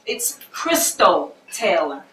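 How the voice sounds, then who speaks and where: An American woman playing a prim, suspicious wife in a stage play, fussy and quick to correct. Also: lowish voice, big pitch swings, crowd noise. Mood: huffy. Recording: echoey stage, distant mic, faint noise.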